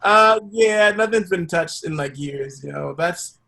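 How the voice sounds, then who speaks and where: A man's voice: sits high, a little slow, creaky, bright, forceful tone, a little hiss or hum. A man performing on a podcast, chatting casually in a hesitant, self-deprecating joking way. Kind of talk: casual chat.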